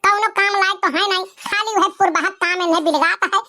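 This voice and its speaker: A performer voicing a fat, sharp-tongued cartoon village woman, scolding and taunting with drawn-out wails in an Awadhi accent. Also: very high-pitched, steady tone, exaggerated voice. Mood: scolding complaint. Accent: Awadhi, eastern Uttar Pradesh. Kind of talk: rustic comedy cartoon dialogue.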